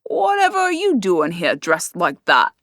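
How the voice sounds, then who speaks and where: Woman voicing a gruff, leering one-eyed man. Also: fairly low pitch, huge pitch swings, unhurried, a bit bright, no echo, studio quality, exaggerated voice. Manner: gruff and mocking.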